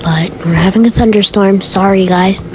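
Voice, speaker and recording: A woman's voice: medium pitch, moderate pace, noisy background, pitched below the performer's own voice, lightly acted. A woman voicing a worried, grumbling father in an amateur family roleplay. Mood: apologetic.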